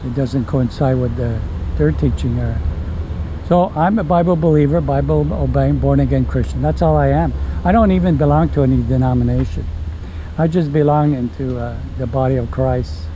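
A man's voice: medium pitch, moderate pace, warm tone, a little hiss or hum, poor audio. An older man preaching on the street, talking earnestly and hesitantly with many fillers, recorded outdoors on a body-worn camera. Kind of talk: casual street conversation. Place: outdoors on a leafy residential street.

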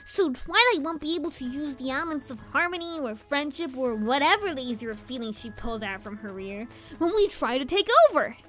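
A scheming little pony girl with a high, swooping voice. She is sassy and quick to argue, like a bratty cartoon villain. Music plays under her. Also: animated, bright, forceful tone. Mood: chatty, confident. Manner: sassy, defensive. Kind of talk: animated-style fan audio drama.

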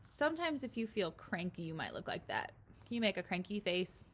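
A woman's voice: on the low side, very animated, a little fast, slight background noise. A woman in her twenties, a pre-kindergarten teacher, reading a picture book aloud and explaining it simply and expressively with questions for young children. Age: 20s. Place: small room at home, indoors.

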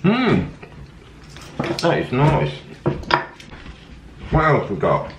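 A man's voice: on the high side, wildly swooping pitch. A middle-aged British man vlogging at home, chatting casually while tasting snacks and reading labels aloud. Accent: British English. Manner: chatty, reads labels aloud, quick asides. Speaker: family vlogger. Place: home kitchen, indoors. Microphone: camera close to face. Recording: echoey kitchen with background noise.

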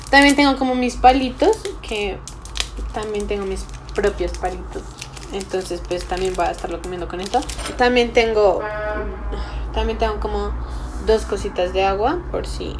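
A woman's voice: medium pitch, background noise. A young woman chatting casually and a little unsurely to the camera as she works at a table in a room. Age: young adult. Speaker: vlogger. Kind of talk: casual chat to camera while cooking. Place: small indoor room, at a table.

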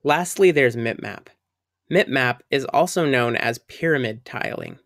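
A man talks through a software tutorial over a screen recording, friendly and upbeat as he explains each setting. His voice sits at an everyday middle pitch and is recorded close and dry, with no room sound. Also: expressive, a little slow, no echo.

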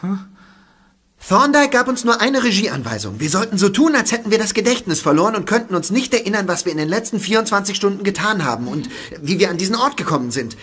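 An actor playing a young acting student who explains things in a chatty way in a radio play. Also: male voice, sits high, sing-song, fast, a bit bright, slightly rough, heavily acted. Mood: explaining.

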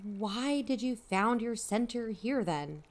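Actress as earnest female investigator, a bit high in pitch, to-the-point questions, audio drama. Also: expressive, slow, faint music behind. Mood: curious.

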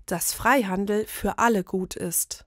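A woman narrating a scripted explainer in a measured, matter-of-fact way. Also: medium pitch, steady tone.

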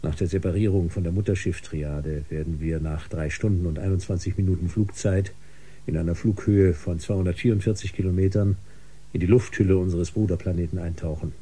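An actor in a German science fiction radio drama playing a solemn, controlled Mars lander pilot who speaks in measured, precise phrases. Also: male voice, sits low, fairly even intonation, dark tone, breathy edge. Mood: factual, precise.

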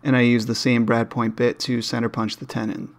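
A man's voice: mid-range voice, flat delivery, a little fast, a little hiss or hum. A man narrating a woodworking build step by step in a plain, matter-of-fact voice-over. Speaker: woodworking channel host.

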